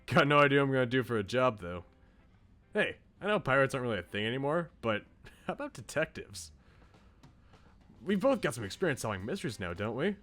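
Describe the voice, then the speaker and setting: A man's voice: mid-range voice, very animated, fairly quick, long pauses, a bit bright, faint music behind. Man acting a brash, loyal young bro. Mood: upbeat. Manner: casual, slangy, earnest.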